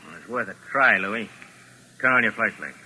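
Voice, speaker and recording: An actor playing a bossy, wary American gangster boss, curt and slangy, in 1940s radio acting style. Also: male voice, fairly low pitch, lively intonation, moderate pace, pushed and bright, breathy edge, exaggerated voice. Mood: scheming. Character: bald little American gang boss, bossy, wary, hard-boiled. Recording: noisy old 1940s radio recording.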